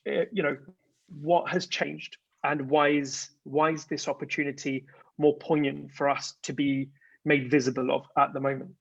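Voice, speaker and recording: A man's voice: fairly high pitch, level tone, normal speed, gravelly, slightly rough, no room sound, lo-fi sound. A man hosting an online panel, politely reading and paraphrasing audience questions. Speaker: panel host.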